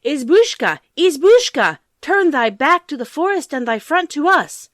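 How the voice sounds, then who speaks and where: Shrill little orphan children, as a woman puts them on. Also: expressive, moderate pace, dry recording, clean studio sound. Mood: calling. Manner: shrill, polite. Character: two frightened polite young orphans.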